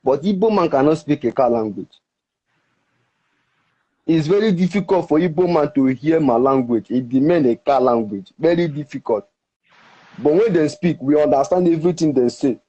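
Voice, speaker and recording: A Nigerian man whose first language is Ika, explaining at length with halting restarts in an interview. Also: a little high, lively intonation, dark tone, husky. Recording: dull, muffled recording.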